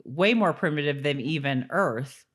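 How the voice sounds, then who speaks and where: Low-pitched woman, podcast co-host. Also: lively intonation, measured pace. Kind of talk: casual TV-recap chat with some read-out episode summary.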